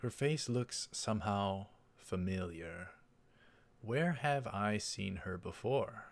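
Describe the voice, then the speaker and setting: A man's voice: lowish voice, animated, slow. A man doing a game playthrough, reading the story text aloud and adding casual asides. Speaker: let's play host. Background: game music.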